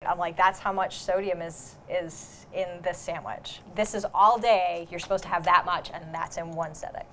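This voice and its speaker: A woman's voice: on the low side, fairly even intonation, normal speed, sharp tone, slight background noise. A woman nutritionist explaining diet in a casual interview.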